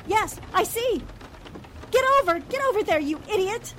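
A woman's voice: extremely high pitch, animated, moderate pace, fairly bright tone. An actress playing a good-natured, sensible newspaperwoman in a 1940s-style radio drama, with a mild, agreeable manner. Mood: panicked. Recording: clear rain and car noise behind. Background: rain and vehicle sounds.

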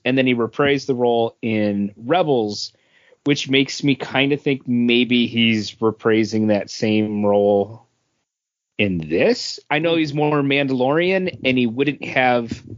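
A man with a medium-pitched voice co-hosts a casual geek podcast. He speaks slowly and hesitantly, thinking aloud. The audio is a bit muffled. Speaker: podcast co-host. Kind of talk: casual geek news discussion.

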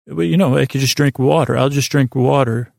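Creaky, meandering American man. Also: mid-range voice, steady tone, darkish tone, no echo, clean studio sound. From United States. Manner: meandering, halting, repeats himself, drifts into tangents. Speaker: podcast host. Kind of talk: rambling bedtime monologue.

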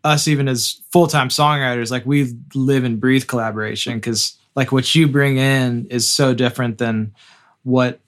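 A man interviewing someone in a relaxed, conversational format. His voice has a medium pitch. He asks questions informally and sounds encouraging, like a fellow songwriter. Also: normal speed. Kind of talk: casual interview.

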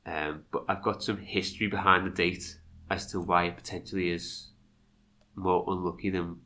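A man with a fairly low, bright and forward voice, stuffed up with a cold. He co-hosts a podcast, reading history from a script and dropping into casual asides. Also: flat delivery, moderate pace. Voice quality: congested, nasal from a cold. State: has a cold. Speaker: podcast co-host.

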